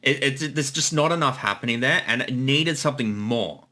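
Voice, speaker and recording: A man's voice: mid-range voice, moderate pace, sharp tone. A man giving casual behind-the-scenes creator commentary, eagerly stammering and repeating words as he explains.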